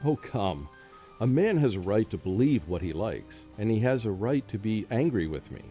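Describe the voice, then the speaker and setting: A man plays a scholarly British scientist in a radio play, rational and skeptical yet troubled. His voice sits fairly low and moves widely in pitch. Music runs softly underneath. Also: very animated, moderate pace. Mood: dismissive, puzzled. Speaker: actor and first-person narrator. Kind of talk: radio drama acting. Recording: faint music behind a student radio play.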